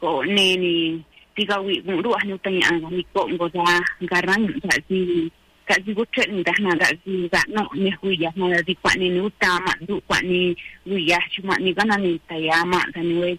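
Bright-voiced woman radio co-host. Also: on the low side, slightly rough, rough recording. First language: Triqui. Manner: relaxed, friendly, many fillers. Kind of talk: casual radio chat.

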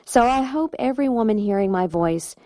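A woman's voice: a little high, animated, fairly quick, warm tone, no room sound. Dry studio woman announcer. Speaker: program announcer. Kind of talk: scripted promotional read. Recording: dry studio voice over music.

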